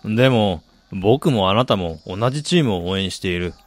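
A man's voice: lowish voice, lively intonation, normal speed, no echo, lightly acted. An actor playing a reflective, polite soccer fan who also narrates his own story in a radio drama. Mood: reserved. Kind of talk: Japanese radio drama acting with first-person narration.

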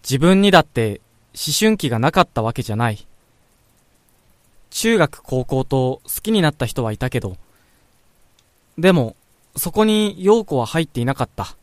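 A man's voice: mid-range voice, very animated, moderate pace, long pauses, dry recording, close to an everyday voice. Man acting a pensive young man, dry close sound. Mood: reflective, tender. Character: pensive young man quietly reflecting on a childhood friend. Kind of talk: radio drama monologue and narration.

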